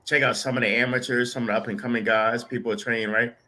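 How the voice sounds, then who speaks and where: A man's voice: medium pitch, little pitch movement, fast, pushed and bright. A man hosting a casual live interview, talking informally and often repeating his words. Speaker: show host.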